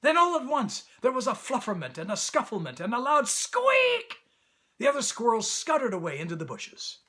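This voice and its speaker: Male storybook narrator: bright, high voice with very lively intonation, reading for children. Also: moderate pace.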